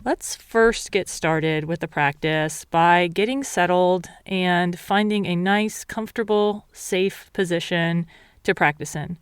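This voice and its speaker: A woman meditation teacher leading a guided meditation in a calm, measured, instructional way. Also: on the low side, clear, resonant tone, dry recording, clean studio sound.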